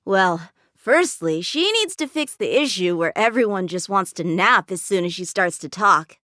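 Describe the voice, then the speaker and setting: A woman's voice: mid-range voice, animated, moderate pace, bright, forceful tone, dry recording, studio quality. A woman voicing an eager, confident teenage boy swordsman in a video game, with an earnest, cocky, youthful manner. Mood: earnest, thoughtful. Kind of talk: video game character voice lines.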